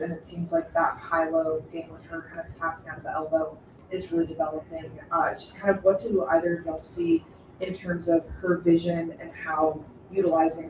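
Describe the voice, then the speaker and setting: A woman reporter asking players questions at a press conference, a little hesitant, saying "y'all". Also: lowish voice. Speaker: sports reporter. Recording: echoey room, distant mic, faint hum.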